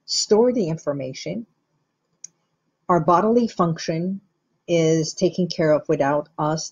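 Woman hypnotherapist, slow and measured, husky, dull lo-fi recording. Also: on the low side, dark tone. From Iran. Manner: slow, measured, guiding explanation. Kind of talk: teaching talk to camera.

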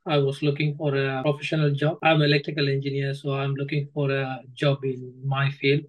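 A man in his thirties with a Sri Lankan accent, giving hesitant, halting interview answers on a video call as a guest. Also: medium pitch, completely flat delivery, low-quality recording. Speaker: guest, former coaching student. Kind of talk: interview answers, personal account. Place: indoor room, on a video call.

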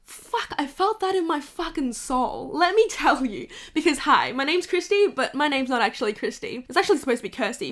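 A woman's voice: sits high, fairly bright tone. A woman, talking candidly and swearing freely in a bedroom to her camera. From Hong Kong. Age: 20s. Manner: candid, swears freely, laughs through emotional bits. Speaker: vlogger. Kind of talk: casual confessional chat to camera.

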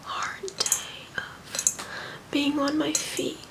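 A woman's voice: high-pitched, monotone, exaggerated voice. Woman playing a whispering, vain, tipsy rich mom. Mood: boastful, languid. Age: young adult. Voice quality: breathy whisper.

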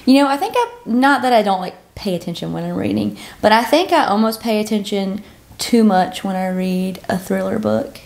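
A woman's voice: medium pitch, animated, normal speed, vocal fry, darkish tone. An American woman in her twenties chatting casually as a podcast co-host, often acting out her own thoughts as she talks. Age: 20s. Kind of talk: casual bookish chat. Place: living room couch, indoors.

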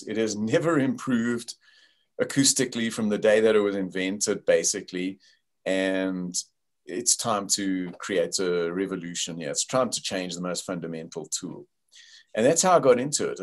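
An older man with a South African accent, a company founder explaining his work over a video call in a thoughtful way, with many fillers. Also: deep voice.

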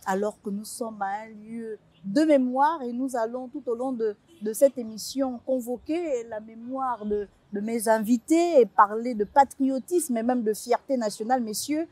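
A woman's voice: fairly high pitch, normal speed, hardly any pauses, dark tone, dry recording. A young woman hosting a televised panel, asking questions in a formal, poised way from a studio. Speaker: host. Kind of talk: tv panel moderating.

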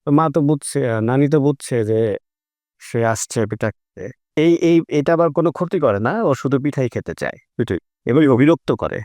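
A man's voice: medium pitch, lively intonation, moderate pace, darkish tone, no echo, studio quality. A man with a Bangladeshi accent, casually telling anecdotes in a group chat.

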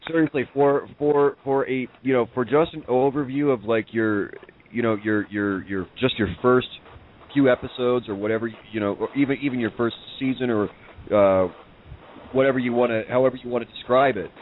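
A man's voice: medium pitch, a little fast. A man interviewing a guest on a podcast in a casual, chatty style. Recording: thin phone-like sound, faint noise.

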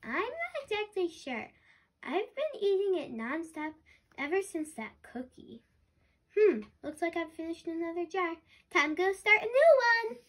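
A woman's voice: very high voice, sing-song, a little slow, fairly bright tone, voice pushed up, exaggerated voice. A woman voicing a cheerful, carefree little narwhal with a breezy childlike manner in a picture-book read-aloud. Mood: cheerful, casual.

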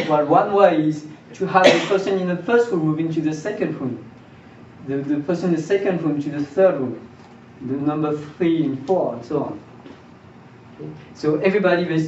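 A young man with a slightly high voice lectures informally, posing questions and checking in with his listeners. He is picked up from a distance in a small seminar room, with strong echo. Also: darkish tone. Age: young adult. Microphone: far camera. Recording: distant roomy mic, dull, faint noise.

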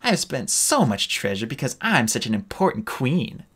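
A man's voice: mid-range voice, sing-song, moderate pace, fairly bright tone, breathy edge. A man voicing a small, nervous young female dragon who hams it up as a pompous queen. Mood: mock royal. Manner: hammy playacting a pompous queen.

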